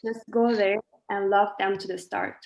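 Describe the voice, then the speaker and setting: A woman's voice: medium pitch, steady tone, normal speed. A woman in her twenties from Italy giving an earnest, reflective personal testimony as a student. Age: 20s. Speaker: student speaker. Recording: echoey room.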